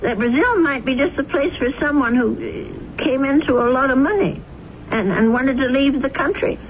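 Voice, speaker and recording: A woman's voice: sits high, normal speed, lightly acted. An actress playing a kindly, motherly old American landlady who chats and fusses, in a radio drama. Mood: musing. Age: older. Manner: chatty, solicitous, mothering. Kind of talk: 1970s radio drama acting. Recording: dull, noisy old radio drama recording.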